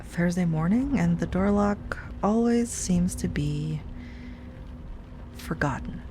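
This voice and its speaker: A woman playing an obsessive, lovesick stalker ex-girlfriend in an intimate audio roleplay monologue, sweet yet menacing, with a breathy delivery that drifts into whispers. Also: on the low side, expressive, takes it slowly, dark tone, a little hiss or hum. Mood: tender, possessive. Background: rain and music.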